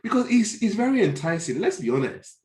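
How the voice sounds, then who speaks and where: A man's voice: high voice, sing-song, brisk, a little mellow. A man with a Nigerian accent in a conversational political discussion, speaking emphatically and repeating phrases as he reasons. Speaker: podcast discussion panelist. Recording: roomy, echoey online call.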